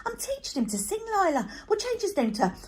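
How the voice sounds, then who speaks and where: A woman's voice: very high-pitched, sing-song, brisk, a little mellow, voice pushed up. A woman voicing a cheeky, scheming young boy in a storybook, cocky and eager in manner. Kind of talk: storybook read aloud.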